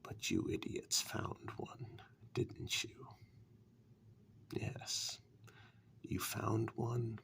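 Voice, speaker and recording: Man voicing a slow, gravelly, whispery warlock. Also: lowish voice, completely flat delivery, a bit bright, exaggerated voice. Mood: mocking, accusing. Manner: slow, deliberate, taunting with rhetorical questions. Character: condescending, reclusive male warlock in a dark fairytale. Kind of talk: soft-spoken ASMR role-play monologue.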